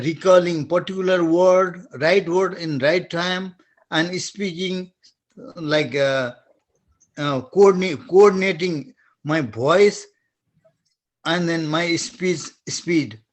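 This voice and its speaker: Slow, halting Nepali-accented man. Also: high voice. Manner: halting, restarts phrases, searches for words. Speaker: webinar attendee asking a question. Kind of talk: question in an online webinar.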